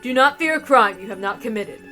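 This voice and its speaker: Woman playing a loud, haughty golden witch. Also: a little high, lively intonation, fairly quick, soft background music. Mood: reassuring. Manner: grand, defiant, declamatory. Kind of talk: dramatic visual novel character reading.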